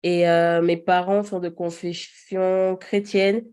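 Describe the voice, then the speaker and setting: A woman's voice: on the low side, level tone, takes it slowly, darkish tone, clear, resonant tone. A woman in her thirties, a podcast guest, talking casually with many fillers and easy laughs. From Paris region. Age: 30s. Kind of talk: casual chat.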